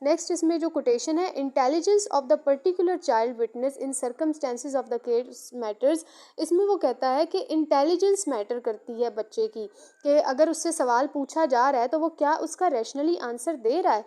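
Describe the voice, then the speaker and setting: Pakistani lady teaching law, really high voice but soft-edged, reads stuff out then explains it again. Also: measured pace. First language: Urdu. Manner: repetitive, code-switches between Urdu and English. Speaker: law lecturer. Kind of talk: explanatory lesson, reading out and explaining.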